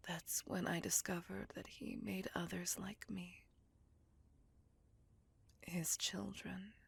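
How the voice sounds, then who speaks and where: A woman plays a sorrowful, bitter vampire woman in a whispered ASMR roleplay. Her voice is very breathy and slow, with a lot of creak at the edges. She confides her past intimately, as if to one listener. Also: on the low side, flat delivery, full character voice. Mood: reflective, subdued. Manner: slow, intimate, confessional storytelling. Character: beautiful, melancholy, bitter female vampire recounting her past. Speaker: voice actor in audio roleplay.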